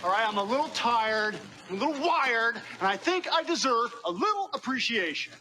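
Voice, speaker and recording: A noisy film clip of a man playing a weary, wired car thief. His voice is very high and sharp as he makes his demands. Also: very animated, a little fast. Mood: exasperated. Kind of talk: film dialogue.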